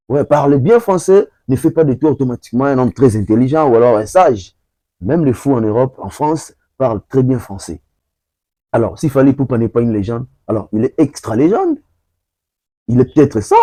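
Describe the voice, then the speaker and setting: A man from Congo delivers heated opinion commentary in a warm, mellow voice. He repeats phrases for emphasis and stalls often with hesitations. The sound is close and dry. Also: mid-range voice, dry recording. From Congo (DRC). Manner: emphatic, repetitive, hesitant with many fillers.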